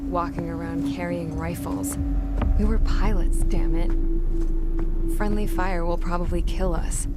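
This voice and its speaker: Actress as low-voiced jaded woman soldier, noisy backdrop. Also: lightly acted. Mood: jaded, grim. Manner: blunt, jaded, swearing. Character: weary, cynical female military pilot-mechanic from a penal unit. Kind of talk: scripted first-person game cutscene narration. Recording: loud noise under the voice.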